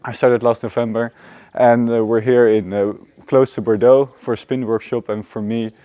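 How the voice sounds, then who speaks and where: A man in his twenties, a PhD student giving a relaxed self-introduction with fillers. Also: mid-range voice, moderate pace, no room sound, dull audio. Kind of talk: short self-introduction to camera.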